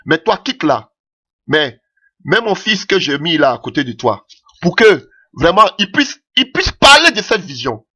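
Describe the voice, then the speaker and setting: A man's voice: fairly high pitch, big pitch swings, a little slow, pushed and bright, husky. A man preaching a sermon in French that is not his mother tongue, impassioned and punctuating his points with "Alléluia".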